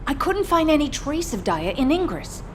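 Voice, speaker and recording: Earnest, driven female fighter for a game, raised pitch, clearly noisy background. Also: sing-song, normal speed. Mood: desperate, determined. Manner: intense, earnest. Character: driven, solemn mother and battle-worn female fighter. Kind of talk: dramatic video game voice acting.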